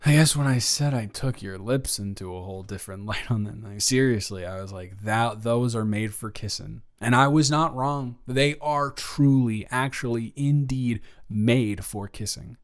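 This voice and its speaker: A man acts out a young guy in love with his best friend, in a romantic audio roleplay. His voice sits at a medium pitch. He banters and teases, often stammering and restarting as he talks. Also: close to an everyday voice. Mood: smitten, soft. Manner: bantering, halting, with stammers and breathy laughs.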